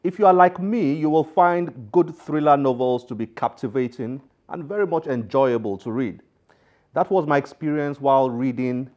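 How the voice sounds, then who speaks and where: A man's voice: mid-range voice, darkish tone, dull audio. A man presenting a book programme in scripted narration, as its host. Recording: music behind the voice. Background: instrumental music.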